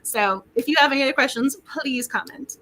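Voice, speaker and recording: A woman hosting a book club livestream in casual chat, excitable and quick to laugh, her voice getting worn. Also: high-pitched, poor audio. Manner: excitable, laughs often, repeats words.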